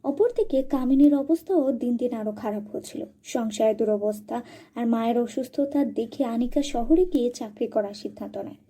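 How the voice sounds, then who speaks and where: A woman's voice: a little high, moderate pace, dark tone. A woman narrating a cartoon story from a script as a storyteller.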